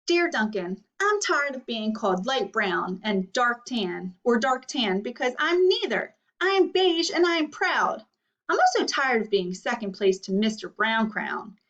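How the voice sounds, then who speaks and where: A woman's voice: a little high, very animated, measured pace, fairly bright tone, full character voice. A woman voicing a proud beige crayon from a storybook that feels slighted, speaking in an indignant, emphatic way. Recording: dull, distant microphone.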